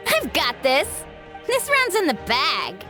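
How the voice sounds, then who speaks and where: A plucky, bubbly teenage girl from a video game. Her perky voice is very high and unhurried, swooping dramatically, with clear music behind. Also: huge pitch swings, sharp tone, full character voice. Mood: confident. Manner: exclamatory, perky. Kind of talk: video game character acting.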